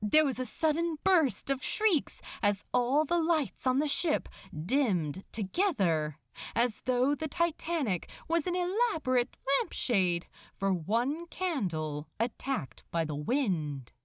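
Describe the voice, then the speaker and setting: A woman reads fiction aloud as a dramatic storyteller. Her voice sits a little high and moves very expressively, taking its time in a dry, close recording. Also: very animated, takes it slowly, no echo. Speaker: podfic narrator. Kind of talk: expressive scripted fiction narration.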